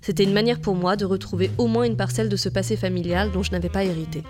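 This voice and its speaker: A young woman from France narrating her podcast in a calm, measured, reflective voice. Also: medium pitch. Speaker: podcast host. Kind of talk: scripted narration. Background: guitar music.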